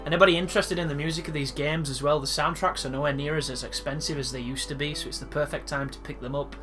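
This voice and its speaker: A man gives rambling, casual commentary on a live playthrough, full of restarts and asides. His voice sits a little high and sounds bright and forceful. Game music plays faintly underneath. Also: fairly even intonation, quick pace. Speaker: let's play host.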